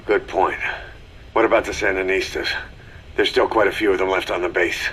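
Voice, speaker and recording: An actor playing a gruff, terse and wary mercenary commander in a video game drama, speaking in a clipped, stern way. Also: male voice, deep voice, fairly even intonation, normal speed, fairly bright tone, slight background noise, rough recording. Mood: resigned, curt.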